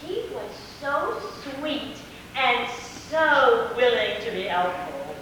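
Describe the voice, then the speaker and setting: A woman playing an arch society lady, bright, echoey. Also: on the high side, very animated, slow pace, exaggerated voice. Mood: arch, condescending. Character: affected, condescending society woman who gushes darlings. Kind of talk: amateur stage play acting. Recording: echoey hall, far from mic, faint noise.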